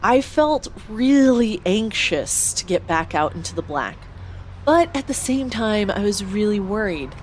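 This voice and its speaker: A woman's voice: medium pitch, slight background noise. A woman narrating a first-person audio drama expressively, acting out her own lines as she goes. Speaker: author and narrator of an audio story.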